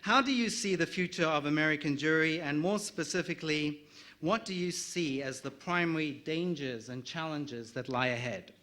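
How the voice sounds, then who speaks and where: A middle-aged South African man runs a conference panel in a slightly higher voice than most men. He reads out guest introductions, stumbling now and then and dropping in a light joke. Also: normal speed, sharp tone. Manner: reads introductions with small slips and light jokes. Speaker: panel moderator.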